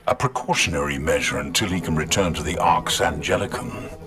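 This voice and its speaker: A man voicing a weary, brooding space marine warrior who talks slowly, in a game cutscene. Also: rumbling low, animated, creaky, over loud music, full character voice. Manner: slow, brooding.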